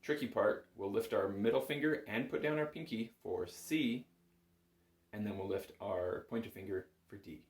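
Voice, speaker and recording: Low-voiced man teaching in an echoey room. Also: normal speed. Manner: informal, encouraging, patient. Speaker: bagpipe chanter instructor. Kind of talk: casual step-by-step music lesson. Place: small indoor music room at home. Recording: roomy, echoing home room sound.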